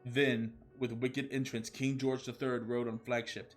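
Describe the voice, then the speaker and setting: A man's voice: mid-range voice, little pitch movement, unhurried, soft background music. A man narrating comic fan fiction in a mock-dramatic way. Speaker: storyteller, show host.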